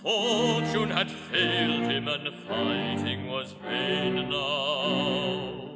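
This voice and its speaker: A man's voice: a little high, extremely slow. A man performing as a solemn bard, singing and chanting heroic lament verse in a radio drama. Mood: solemn, ominous. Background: orchestral music.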